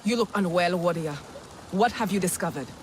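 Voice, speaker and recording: A woman's voice: on the low side, brisk, music in the background, close to an everyday voice. A woman playing a stern, commanding alien clan leader in a video game.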